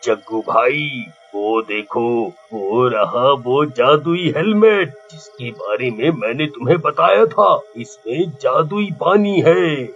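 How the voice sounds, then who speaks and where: A man voicing a scheming, easily panicked village thief in a cartoon, with a raspy, exclamatory, melodramatic delivery. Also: medium pitch, expressive, slow, hardly any pauses, fairly bright tone. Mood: sly. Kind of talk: cartoon voice acting. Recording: muffled lo-fi audio, music behind.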